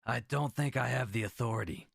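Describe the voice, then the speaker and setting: A nervous army lieutenant in an audio drama. His voice is husky and creaky, hesitant and deferential, as if answering to a superior, with a dry recorded sound. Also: mid-range voice, brisk, no room sound. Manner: hesitant, subservient. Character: deferential, nervous military lieutenant reporting to royalty.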